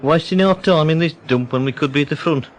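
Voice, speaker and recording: Actor as grumbling soldier, highish voice. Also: male voice, fast, lightly acted. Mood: bored, griping. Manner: blunt, griping, colloquial. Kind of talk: radio drama acting. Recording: faint camp noise effects behind.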